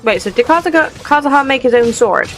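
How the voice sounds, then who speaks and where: A woman's voice: sits high, lively intonation, quick pace. A woman creating gaming videos, reacting casually to a playthrough in a chatty, sympathetic way.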